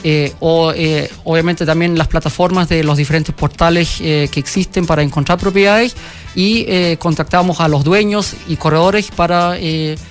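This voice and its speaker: High-voiced man with a German accent. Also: steady tone, soft background music. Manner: halting, many fillers and restarts. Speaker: company founder guest. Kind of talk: radio interview. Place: radio studio.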